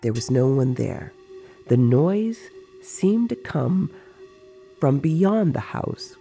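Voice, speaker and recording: A narrator telling a dramatized story in a measured, deliberate way with pauses. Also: mid-range voice, big pitch swings, vocal fry, warm tone. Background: music.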